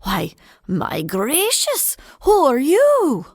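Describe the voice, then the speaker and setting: A woman's voice: high voice, big pitch swings, a little slow, no echo. A woman voicing a curious, bewildered old farmer with a folksy manner.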